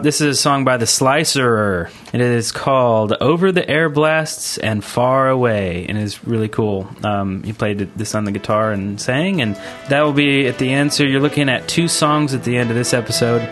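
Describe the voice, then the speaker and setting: A man with a mid-pitched voice co-hosts a gaming podcast. He talks casually and informally, rambling along as he goes. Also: moderate pace, few pauses, fairly bright tone, faint music behind. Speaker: podcast co-host.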